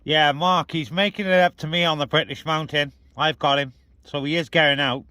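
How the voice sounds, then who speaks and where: A man's voice: a little high, steady tone, brisk, very noisy. A man chatting casually as a CB radio operator and vlogger, speaking outdoors on a hilltop. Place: outdoors on a hilltop, light wind.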